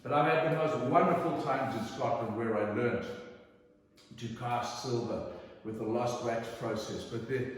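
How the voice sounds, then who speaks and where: A middle-aged man from Zimbabwe telling personal anecdotes in a theatrical, emphatic way with dramatic pauses. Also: mid-range voice, slight background noise. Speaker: storyteller. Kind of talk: animated anecdotal storytelling. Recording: echoey hall, distant microphone.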